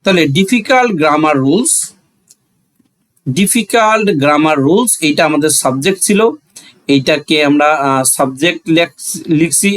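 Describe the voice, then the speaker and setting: A man's voice: a little high, animated, normal speed, pauses often, fairly bright tone, poor audio. A man with a Bangladeshi accent teaching a grammar lesson, asking questions and answering them himself, repeating phrases for emphasis.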